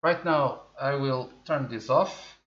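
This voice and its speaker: A man's voice: medium pitch, a bit bright. A man in his forties, a native Portuguese speaker, hosting a tech review channel and unboxing a product at a studio desk in a casual, thinking-aloud way. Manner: slow, chatty, thinking aloud with many fillers. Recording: echoey room, slightly dull audio.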